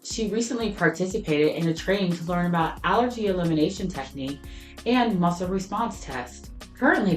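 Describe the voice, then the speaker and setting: Woman reading a spotlight in an echoey room. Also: lowish voice. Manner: measured, read from a script. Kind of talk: scripted narration.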